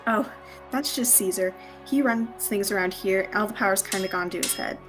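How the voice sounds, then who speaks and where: A woman's voice: mid-range voice, normal speed, lightly acted. A young woman acting a friendly, folksy, dim-witted female Roman gladiator in radio theatre. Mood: dismissive. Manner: folksy turns of phrase. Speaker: student radio theatre actor. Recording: music behind the voice.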